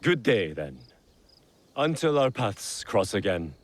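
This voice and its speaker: An actor playing a sly, deferential shrine servant, smoothly polite with a faintly mocking edge. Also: male voice, fairly low pitch, very animated, takes it slowly, dry recording, full character voice. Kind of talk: video game cutscene acting.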